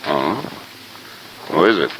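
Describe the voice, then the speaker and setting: An actor playing a dry, businesslike American insurance investigator in a radio detective drama, terse and deadpan. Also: male voice, rumbling low, slow pace, exaggerated voice. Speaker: lead and narrator. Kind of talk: 1950s radio detective drama, narration and dialogue. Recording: noisy old radio recording.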